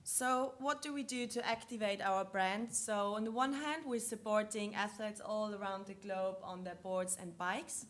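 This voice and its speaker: A woman's voice: medium pitch, normal speed, hardly any pauses, a bit bright. A woman from Austria with an Austrian accent, presenting a marketing session at a conference with slides, explaining step by step with frequent ums and restarts.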